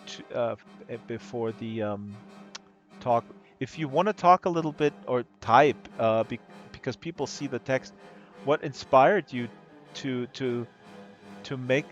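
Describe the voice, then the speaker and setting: A man with a hoarse voice giving casual, excitable live-stream commentary, rambling and gushing with amazement. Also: medium pitch. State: hoarse from talking all day. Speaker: live streamer, virtual world tour guide. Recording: dull lo-fi stream audio with music underneath. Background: music playing.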